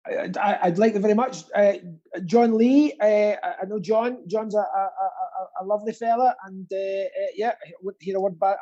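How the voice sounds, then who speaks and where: Husky, mellow man, playfully camp. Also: high voice, muffled recording. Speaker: quiz host. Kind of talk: live online quiz banter.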